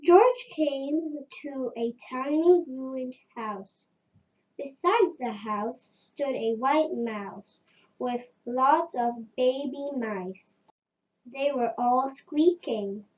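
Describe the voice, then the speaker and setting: A woman's voice: high-pitched, drawn-out words, lo-fi sound. A woman reading a children's story aloud as a storyteller.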